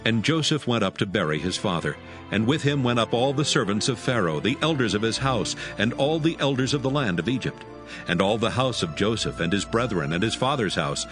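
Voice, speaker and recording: Male Bible narrator, lower register, expressive storytelling with lively intonation, music playing behind. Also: brisk. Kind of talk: dramatic scripture narration. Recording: music under the voice.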